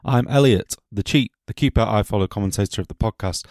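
Host: low man, chatty and jokey, slight cough, dry sound. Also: fast, a little mellow, dry recording. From England. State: has a cough. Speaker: podcast host. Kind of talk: casual football podcast chat.

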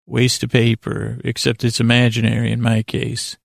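Male bedtime podcast host: gravelly, even-toned, soothing, a hesitant and self-mocking rambler. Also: medium pitch, dry recording, studio quality. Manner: meandering, halting, self-deprecating, repeats himself; lulling, soothing delivery.

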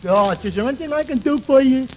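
A high-voiced dim-witted pal. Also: male voice, expressive. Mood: bewildered. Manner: slow-witted, earnest, bewildered. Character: dim-witted, literal-minded male barfly pal. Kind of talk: 1940s radio comedy acting. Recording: dull, noisy 1940s radio recording.

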